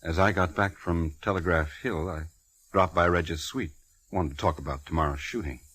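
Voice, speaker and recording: Deep, husky, guarded movie man. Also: fairly bright tone, dry recording, poor audio. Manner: hesitant, measured, polite. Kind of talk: 1940s radio drama acting.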